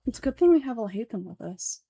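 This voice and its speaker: A woman's voice: on the high side, very animated, fast, warm tone, breathy edge, dry recording. A young adult woman giving casual, slangy and playful commentary while playing a video game. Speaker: game streamer doing voice-overs.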